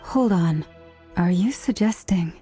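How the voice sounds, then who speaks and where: Slow, mellow, composed young woman. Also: lowish voice, expressive, soft background music. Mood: questioning. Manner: measured, reflective. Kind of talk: video game voice acting.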